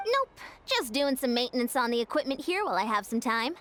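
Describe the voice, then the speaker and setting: An upbeat sports coach. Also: female voice, sits high, sing-song, fairly quick, noisy background. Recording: game audio with faint music.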